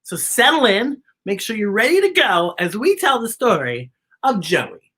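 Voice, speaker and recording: A woman's voice: mid-range voice, sing-song, moderate pace, a bit bright. A woman hosting a children's story time, reading a picture book aloud in a playful, theatrical way with chatty asides to the young listeners.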